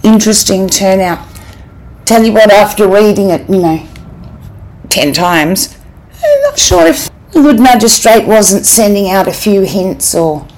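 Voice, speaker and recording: Unhurried woman hosting a podcast. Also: mid-range voice, big pitch swings, darkish tone, poor audio. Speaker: podcast host. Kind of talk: casual podcast sign-off and commentary.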